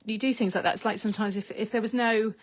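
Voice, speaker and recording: A woman's voice: medium pitch, fairly even intonation, quick pace. A middle-aged British woman from the UK, chatting casually with viewers on a crafting livestream, reading their comments aloud and laughing often. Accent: British English. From United Kingdom. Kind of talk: casual live chat while crafting.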